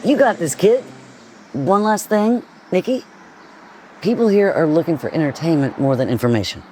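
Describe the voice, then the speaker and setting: A woman's voice: fairly low pitch, expressive, moderate pace, a little mellow, close to an everyday voice. A breezy, chatty tour guide played by a woman in an audio drama. Her voice bounces up and down as she encourages, with faint traffic behind her. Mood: encouraging.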